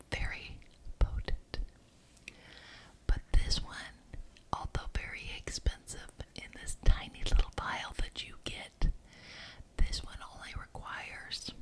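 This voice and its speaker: Slow whispering witch played by a woman. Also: lightly acted, rough recording. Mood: instructive, hushed. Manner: slow, deliberate, repeats words for emphasis. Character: gracious, bossy witch chairing a coven homeowners' meeting. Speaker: ASMR roleplay performer. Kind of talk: whispered ASMR roleplay.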